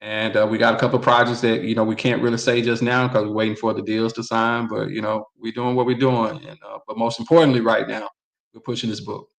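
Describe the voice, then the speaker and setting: A man from Mississippi, a guest author and filmmaker, giving steady, matter-of-fact updates in casual chat. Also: lowish voice, fast talker, creaky, poor audio.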